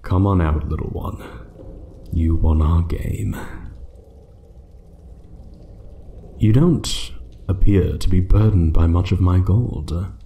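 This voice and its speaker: A man's voice: sits low, a little slow, mellow, slight background noise. A man playing an ancient, menacing dragon in an ASMR roleplay, taunting and condescending, at times dropping into a whisper. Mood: condescending amusement. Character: ancient menacing male dragon toying with a thief. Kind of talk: one-sided ASMR roleplay acting.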